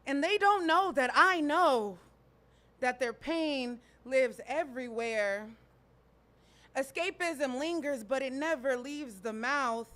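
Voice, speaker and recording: A woman performing her own spoken word poetry at an open mic, reciting in a slow, deliberate way. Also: sits high, bright, forceful tone. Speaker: poet.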